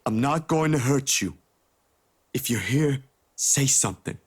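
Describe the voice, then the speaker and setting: An actor playing an anxious, earnest young man in a video game, hesitant and stammering, with a breathy voice. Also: mid-range voice, expressive, unhurried. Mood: nervous, gentle. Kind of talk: visual novel game acting.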